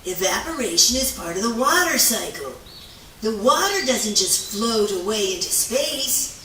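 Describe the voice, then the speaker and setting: A laid-back philosophical dude of a puppet animal, acted out by a performer, speaking in a slow drawl with a bright edge. The slangy, easily awed delivery rings in an echoey room. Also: mid-range voice, very animated. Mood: awed, soothing. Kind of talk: children's puppet show acting. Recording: echoey room, distant mic, faint noise.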